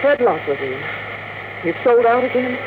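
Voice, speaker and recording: A woman's voice: on the high side, expressive, unhurried, background noise. An actress playing a weary but firm woman of the household in an old-time radio drama. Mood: fed up. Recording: tinny old radio recording with music.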